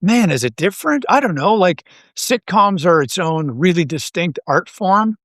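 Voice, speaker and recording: A man's voice: sits high, expressive, normal speed, fairly bright tone, dry recording, clean studio sound. A man speaking casually as an interview guest, thinking aloud in a rambling way with false starts and repeated words. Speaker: interview guest, audio drama writer and creator.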